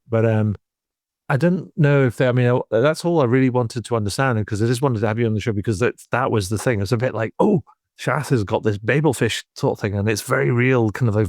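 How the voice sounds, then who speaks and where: Male podcast host, lowish voice, dry close sound, meandering talk full of fillers. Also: darkish tone, no echo. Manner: rambling, many fillers like "kind of" and "like". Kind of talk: casual interview talk.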